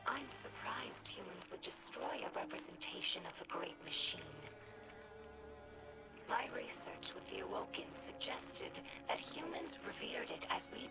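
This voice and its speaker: A young female alien scholar from a video game, speaking in a low, breathy half-whisper. She is earnest, gentle and inquisitive, choosing her formal words with care. Loud music plays behind her. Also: rough recording. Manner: measured, formal, thoughtful phrasing. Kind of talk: video game character dialogue.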